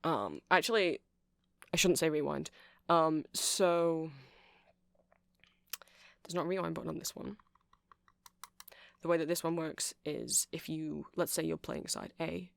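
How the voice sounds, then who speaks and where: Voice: mid-range voice, steady tone, a little slow, creaky, long pauses, no echo. A performer voicing a chatty, nerdy male vampire shopkeeper in an ASMR roleplay, rambling hesitantly and apologetically as they explain things. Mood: helpful, unsure. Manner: hesitant, rambling, self-correcting. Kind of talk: ASMR roleplay, casual rambling sales chat.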